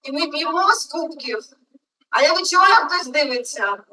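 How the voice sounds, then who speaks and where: A woman's voice: high voice, expressive, moderate pace, sharp tone. A woman narrating a personal family story in a film. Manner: storytelling, intimate. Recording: film audio played from far off.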